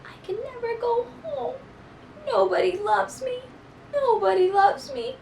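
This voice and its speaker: A woman's voice: very high voice, unhurried, gravelly, darkish tone, reverberant, recorded from a distance, a little hiss or hum, pitched above the performer's own voice, heavily acted. A woman voicing a heartbroken, lonely storybook schoolgirl in a plaintive way. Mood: forlorn. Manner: plaintive, repetitive.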